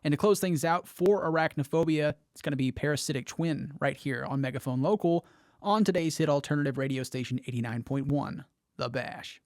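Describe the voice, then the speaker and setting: A man's voice: on the high side, a little fast. A man presenting a local music show on the radio as a DJ.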